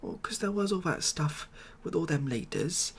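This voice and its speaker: A British man speaks in a gravelly, creaky voice, with faint noise behind him. He rambles hesitantly and deadpan, soft-spoken in ASMR comedy style, as a glum former builder who is out of his depth. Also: a little high, normal speed. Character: glum, overwhelmed ex-builder made president of the world. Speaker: ASMR roleplay performer.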